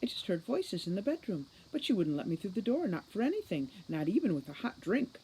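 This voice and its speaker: A woman voicing a flustered, deferential young servant girl in a storybook, with breathless, halting, urgent speech. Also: medium pitch, very animated, dark tone, slightly rough, voice pushed up, rough recording. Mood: flustered, earnest. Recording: faint background noise.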